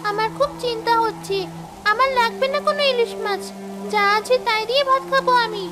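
A woman's voice: very high voice, a little fast, bright, forceful tone. A woman voicing an earnest, emotional young village daughter in a cartoon drama. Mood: worried. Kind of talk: dramatic cartoon child-character acting. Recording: music and rain behind voice.

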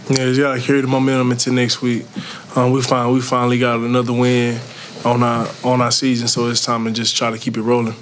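Young man, college athlete, fast casual answers with little pitch movement, press room interview. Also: completely flat delivery, slight background noise. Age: early 20s. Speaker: college football player. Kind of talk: post-game press conference answers. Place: indoor press conference room. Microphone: tabletop microphone.

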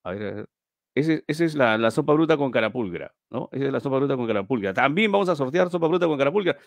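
Gravelly middle-aged man hosting live. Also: mid-range voice, lively intonation, quick pace, no room sound. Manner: chatty, reads viewer names aloud, repeats phrases. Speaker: live stream host. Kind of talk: live stream giveaway chat. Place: small indoor room.

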